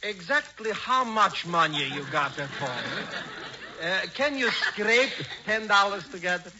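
An actor playing a wily, fawning professor of genealogy with a theatrical, oily manner in a 1940s radio comedy. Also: male voice, high-pitched, expressive, measured pace. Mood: triumphant salesmanship. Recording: noisy, muffled 1940s radio recording.

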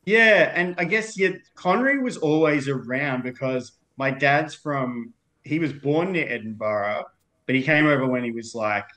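A man's voice: medium pitch, moderate pace, pushed and bright. Australian accent, man in his thirties, casual guest chat, meandering, full of fillers. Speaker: podcast guest.

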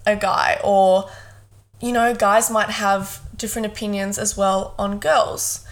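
A woman in her mid-twenties from South Africa, speaking as a guest co-host in a relaxed, thoughtful podcast chat. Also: mid-range voice, a little slow. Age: 20s. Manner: relaxed, thoughtful, fond of "hundred percent".

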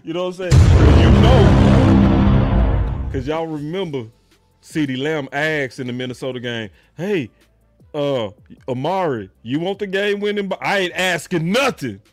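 A man hosting a casual sports talk show, taking over the talk with loud, playful trash talk and slang. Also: fairly high pitch, a little slow, faint music behind.

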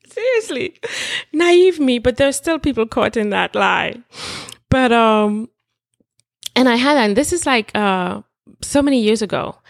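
Woman, gravelly candid storyteller. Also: fairly high pitch, no room sound, clean studio sound. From Trinidad and Tobago. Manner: reflective, candid, with filler words. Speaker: podcast guest, life coach. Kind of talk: casual personal storytelling.

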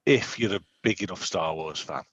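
A man in his forties, co-hosting a podcast in casual chat, rambling and animated with lots of restarts. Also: low voice, normal speed, breathy edge, no echo, highs cut off. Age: 40s. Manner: rambling, animated, self-interrupting. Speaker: podcast co-host.

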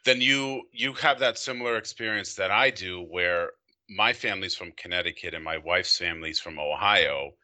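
A man's voice: sits low, pushed and bright. A man in his thirties hosting a company podcast, talking in a friendly, chatty way. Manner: friendly and chatty, with quick laughs. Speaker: podcast host. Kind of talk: casual interview chat.

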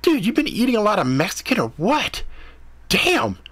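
A man putting on a sassy high-voiced fey cat. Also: huge pitch swings, normal speed, fairly bright tone, dry recording, exaggerated voice. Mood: teasing. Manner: brash, slangy, high-pitched. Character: sassy female fey cat, slangy and blunt. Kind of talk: audiobook character voice.

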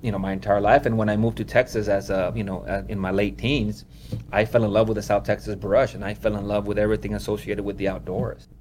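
Low-voiced man telling stories, saying "you know". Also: flat delivery, quick pace, a little mellow, slight background noise. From Honduras. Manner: rambling, leans on "you know", laughs often while telling stories. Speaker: wildlife photographer being interviewed. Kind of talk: casual interview storytelling.

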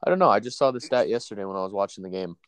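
A man's voice: fairly low pitch, rapid-fire, clean tone, no echo. A young man with a stuffy nose from allergies, chatting casually about work and sports, saying "dude" and "bro" a lot. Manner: laid-back, says "dude" and "bro" a lot.

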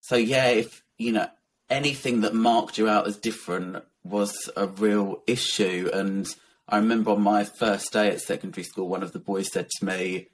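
Soft-voiced, low, tired-sounding man. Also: flat delivery, moderate pace, low-quality recording. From Essex, England. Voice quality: soft, gentle voice. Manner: candid, reflective, many ums and soft laughs. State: croaky and tired. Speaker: guest, children's author. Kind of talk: casual interview talk.

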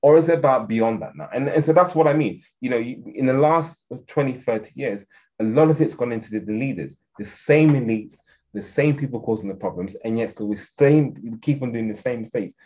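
A man's voice: medium pitch, brisk, a little mellow, rough recording. Earnest man with an English accent. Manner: earnest, thinks aloud with frequent restarts. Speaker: guest expert on African security policy. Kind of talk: conversational interview answer.

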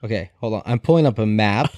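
A man's voice: on the low side, fast, darkish tone, no echo. A man chatting casually as a podcast co-host, with a teasing, sarcastic manner. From New York City. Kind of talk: casual chat.

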